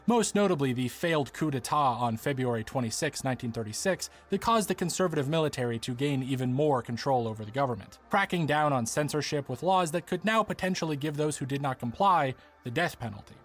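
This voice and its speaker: A man's voice: medium pitch, animated, fairly quick, few pauses. Man narrating a documentary-style essay over music. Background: background music.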